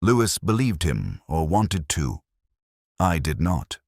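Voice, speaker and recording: Very deep-voiced man acting a brooding detective narrator. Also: sing-song, darkish tone, no echo, studio quality, full character voice. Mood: brooding, reflective. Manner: measured, literary, brooding. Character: reflective, sceptical male English police detective inspector. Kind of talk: scripted first-person mystery narration.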